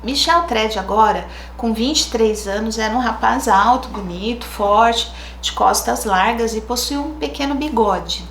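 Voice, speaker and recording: A Brazilian woman with a slightly high voice tells a true crime case in a relaxed, chatty way, thinking aloud in asides. Her voice rings in an echoey room, with a faint hiss behind it. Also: unhurried, hardly any pauses. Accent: Brazilian Portuguese. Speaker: true crime channel host. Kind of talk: casual true crime storytelling.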